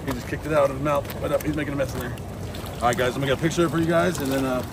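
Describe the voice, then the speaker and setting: A man's voice: medium pitch, very fast, gravelly, noisy background. A man hosting a casual fishing vlog outdoors by a river, calling out to his viewers. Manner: excited, addresses viewers as guys. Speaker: fishing channel host.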